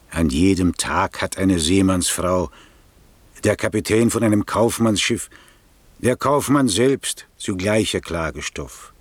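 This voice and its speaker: An actor playing a kindly, loyal courtier in a classic German radio drama, courteous, measured and consoling. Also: male voice, deep voice, husky, no room sound, heavily acted.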